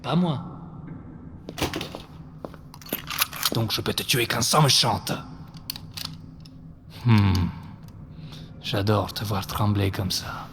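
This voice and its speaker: A man playing a menacing terrorist in a French audio drama, taunting his enemy in a deliberate, theatrical, mocking manner. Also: on the low side, lively intonation. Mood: mocking, threatening. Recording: reverberant hall, faint background noise.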